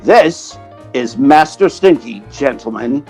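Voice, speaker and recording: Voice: medium pitch, animated, takes it slowly, darkish tone, faint music behind. A performer playing a brash, colloquial theatrical agent who narrates and acts in an old-time radio comedy.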